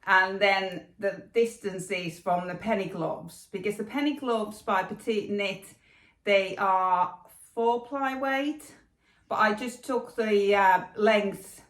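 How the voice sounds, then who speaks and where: A woman's voice: mid-range voice, fairly bright tone. Woman, middle-aged, casual chatty host, filler-heavy, echoey room. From Finland. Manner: chatty, with many fillers and restarts. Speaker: knitting podcast host. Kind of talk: casual chat to camera.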